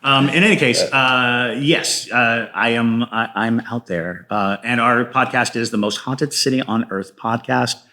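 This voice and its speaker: A man talking casually as an interview guest, halting as he thinks aloud. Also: medium pitch, pushed and bright. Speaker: guest playwright and podcaster.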